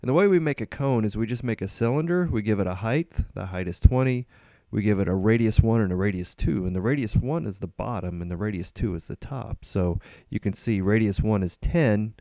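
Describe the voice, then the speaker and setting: A man hosting a casual screen-recorded coding tutorial, relaxed and rambling with frequent ums and uhs. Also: lowish voice, a little fast, dry recording. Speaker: host.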